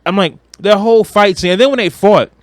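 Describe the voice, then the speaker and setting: A man's voice: sits high, fairly quick, no echo. A man chatting casually and emphatically about movies on a podcast, with slang, swearing and repeated phrases. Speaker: podcast co-host.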